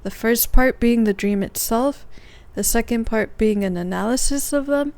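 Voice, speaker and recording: A soft-spoken woman hosting her own podcast, casually recounting her dreams in a relaxed monologue. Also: fairly high pitch, moderate pace, no echo. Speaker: podcast host.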